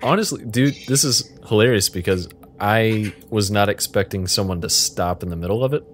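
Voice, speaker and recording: A man's voice: lowish voice, moderate pace, soft background music. A man casually narrating an interactive fiction game as its host, with a playful, joking manner.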